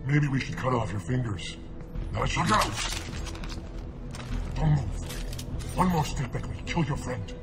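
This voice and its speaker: A man's voice: mid-range voice, animated, slow, pauses often. A man voicing a hostile, menacing alien captor in a video game. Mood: threatening. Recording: game audio with music behind.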